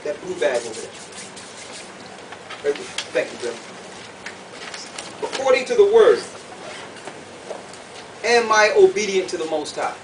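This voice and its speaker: A man's voice: high voice, very animated, a little slow, long pauses. A man preaching and teaching from scripture to a group, emphatic, repeating phrases and putting questions to his listeners. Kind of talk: sermon, conference teaching to a group. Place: conference room with an audience. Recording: distant mic, clearly noisy room recording.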